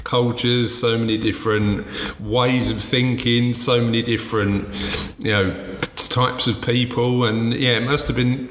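A male podcast host asks questions and affirms the guest in a friendly, chatty way. His voice has a long, roomy reverb on it, with faint noise behind. He speaks at an ordinary pitch. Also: level tone, measured pace, a bit bright. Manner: friendly, affirming, frequent "yeah". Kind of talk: conversational interview.

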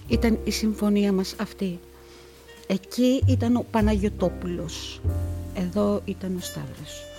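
Guarded, formal widow, played by a woman: middle-aged, mid-pitched, mellow, holding her dignity in a radio play. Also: soft background music, natural voice. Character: dignified, guarded newly widowed wife and mother.

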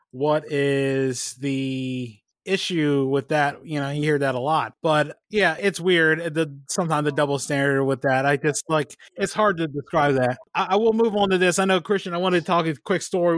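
A man's voice: fairly high pitch, dry recording, studio quality. A man hosting a podcast in a casual conversational style, circling loosely toward his questions. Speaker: podcast host, interviewer.

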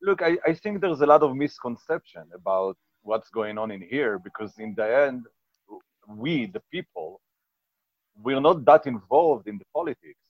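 A man's voice: medium pitch, animated, moderate pace, no echo, dull audio. A man in his forties from Israel, a band member and bar owner, talking earnestly in a casual interview and searching for words. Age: 40s. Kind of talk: casual interview over a call.

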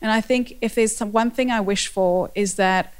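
A woman's voice: mid-range voice, normal speed, clean tone. A woman in her forties with a South African accent, speaking earnestly as a panel guest and sharing personal reflections.

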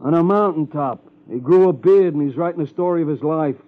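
An American actor playing a brash, evasive, sarcastic boxing trainer with a streetwise manner in a 1950s radio drama. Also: male voice, on the high side, fairly quick, close to an everyday voice. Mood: mocking, sly. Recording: muffled old 1950s radio recording.

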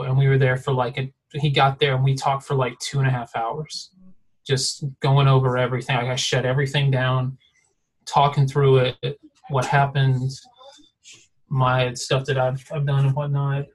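A man's voice: mid-range voice, level tone, normal speed, poor audio. A man in a casual podcast chat, telling a rambling story full of fillers and restarts. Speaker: design mentor guest.

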